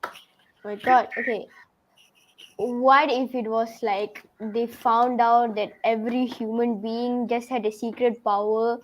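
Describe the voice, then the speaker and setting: A woman co-hosting a casual online talk show, telling an excited, quick made-up story. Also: fairly high pitch, a little hiss or hum, poor audio. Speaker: co-host.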